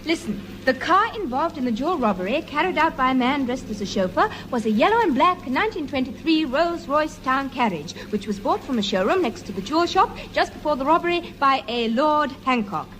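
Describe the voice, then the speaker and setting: An actress playing a brisk, urgent woman in a 1950s British radio sitcom. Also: high-pitched, sing-song, a bit bright, poor audio. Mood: alarmed. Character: brisk, urgent woman warning her hapless friend. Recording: old radio recording with faint noise.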